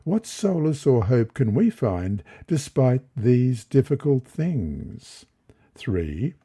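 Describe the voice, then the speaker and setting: A man reading a devotional lesson aloud in a measured, deliberate manner. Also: medium pitch, mellow, slightly rough. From Australia. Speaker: lesson reader and presenter.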